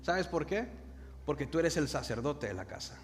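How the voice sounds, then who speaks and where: A man's voice: fairly high pitch, big pitch swings, soft background music. A young man from El Salvador with a Salvadoran Spanish accent, preaching a sermon and calling the congregation to repeat words after him. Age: young adult. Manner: calls the congregation to repeat words, slows for emphasis. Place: church hall. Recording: reverberant hall with faint noise.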